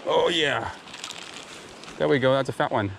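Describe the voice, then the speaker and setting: Clear water noise surrounds this young man, who is outdoors by a river. His voice sits at a medium pitch. He talks casually and informally, like a vlogger showing viewers what he is doing, often in short exclamations. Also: expressive, unhurried, fairly bright tone. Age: young adult. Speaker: vlogger, fishing and cooking channel host. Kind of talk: casual outdoor vlog narration. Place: outdoors, wading in a wooded river. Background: stream water and ambient noise.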